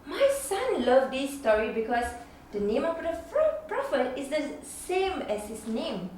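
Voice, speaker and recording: Expressive Singaporean mom reading aloud. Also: female voice, high voice, unhurried, slight background noise. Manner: expressive, sing-song rhymes with gasps. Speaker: mother reading a picture book aloud. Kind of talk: children's picture book read-aloud. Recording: echoey room, mic at a distance.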